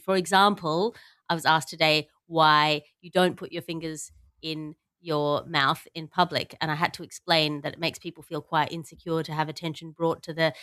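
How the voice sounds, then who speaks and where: A woman's voice: sits low, fairly bright tone, clean tone, no echo. A woman hosting a comedy news podcast, bantering in wordy, mock-formal phrasing.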